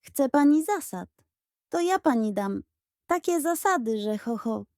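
A woman's voice: high-pitched, animated, measured pace, darkish tone, dry recording, studio quality, pitched above the performer's own voice. A woman voicing a mischievous, defiant schoolboy who mutters schemes under his breath in a children's audio story. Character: mischievous defiant schoolboy plotting revenge on teacher.

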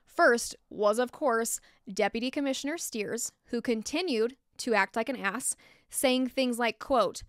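A young woman with an American accent tells a true story from a script, with candid asides. Her voice sits slightly high and is very smooth and clean. She is frank and informal, recorded close on a desk mic with a dry, intimate sound. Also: measured pace, dry recording. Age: young adult. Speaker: true-crime storyteller, host. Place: small log-walled room indoors. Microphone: close desktop microphone.

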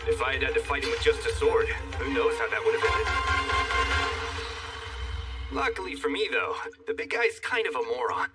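Casting: male actor for a smug, wry young animated hero. Expressive narration, slightly off-mic over clear music. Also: medium pitch, moderate pace, long pauses, recorded from a distance, natural voice. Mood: wry presenting. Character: cocky sarcastic young male fantasy hero. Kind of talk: animated dub narration and banter.

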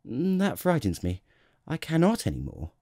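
Man doing a high, timid little boy prince. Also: wildly swooping pitch, unhurried, mellow, no echo, clean studio sound, exaggerated voice. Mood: embarrassed, weary. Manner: timid, polite, abashed.

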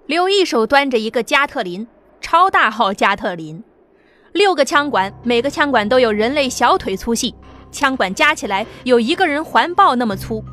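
A woman narrating an audio drama in a steady storytelling manner. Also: high-pitched, moderate pace.

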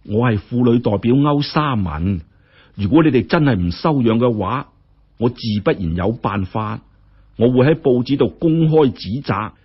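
A man narrator plays a righteous women's representative in a Cantonese audio story, with a Hong Kong accent. The voice is husky and forceful in manner, full of feeling, on a dull recording. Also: medium pitch, animated, moderate pace. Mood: stern, threatening.

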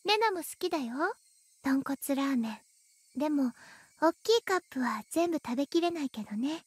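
Anime game teen girl: high, quick, cutesy, sweetly pleading, eerily insistent. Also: expressive, no room sound. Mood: sweet, coaxing. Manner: cutesy, coaxing, childlike. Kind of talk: anime visual novel voice acting.

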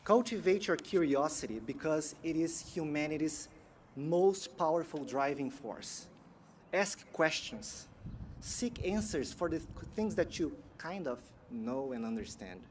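A man, giving a prepared graduation keynote in a measured, earnest way from a podium outdoors. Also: a little high, lively intonation, a little hiss or hum. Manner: measured, earnest delivery with pauses. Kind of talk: prepared commencement speech. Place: outdoor sports field ceremony. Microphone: podium microphone.

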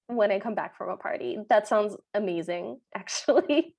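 A woman's voice: mid-range voice, lively intonation, normal speed, vocal fry, darkish tone. A woman co-hosting a podcast, recapping a film in a casual, joking way, wry and peppering her talk with "like". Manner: wry, uses "like" often. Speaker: podcast co-host.

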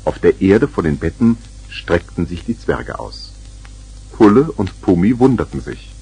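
Children's radio play narrator: deep, expressive, scripted storytelling. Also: male voice, measured pace, husky, heavily acted, low-quality recording. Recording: 1970s vinyl record with music.

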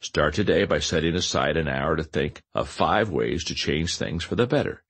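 Male audiobook narrator for instructional nonfiction; low, husky voice, emphatic on key points. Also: animated, moderate pace, fairly bright tone.

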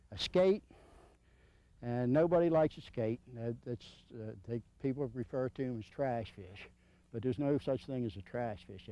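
The sound is dull and lo-fi, like an old video, with very little echo. A middle-aged man with a medium-pitched voice gives practical, hands-on instruction. He is plainspoken and folksy, often repeating a step. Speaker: surf fishing instructor. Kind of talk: informal hands-on instructional demonstration. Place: outdoors on a sandy beach.

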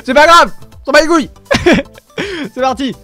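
Excitable high-voiced young man. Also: a little slow, faint music behind. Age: young adult. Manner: animated, exclaims often, talks to viewers. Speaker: gaming video creator. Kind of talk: excited gameplay commentary. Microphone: headphones with desk mic.

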